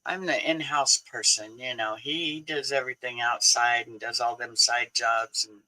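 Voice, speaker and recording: A man's voice: medium pitch, fairly even intonation, normal speed, pushed and bright, poor audio. A man in his sixties hosting a casual livestream, chatty and laughing often as he answers his viewers. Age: 60s. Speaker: livestream host.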